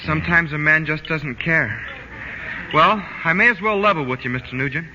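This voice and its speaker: An actor playing an earnest, self-pitying high school athlete in a 1940s radio comedy. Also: male voice, moderate pace, pushed and bright. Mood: nobly wounded. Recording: noisy, muffled old radio recording.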